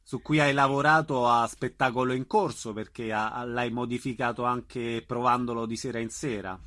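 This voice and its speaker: A woman's voice: extremely low pitch, steady tone, normal speed, a bit bright. A woman hosting a radio interview. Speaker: radio show host.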